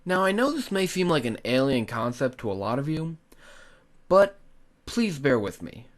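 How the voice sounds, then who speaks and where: Man, hobby creator, scripted how-to narration, animated melody, instructive, direct address. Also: medium pitch, normal speed. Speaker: tutorial host, hobby video creator.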